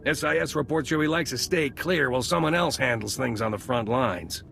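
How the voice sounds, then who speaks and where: Gravelly businesslike intelligence agent. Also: male voice, medium pitch, brisk, fairly bright tone, faint music behind. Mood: dry, businesslike. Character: gruff male military intelligence agent, businesslike. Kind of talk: video game voice acting.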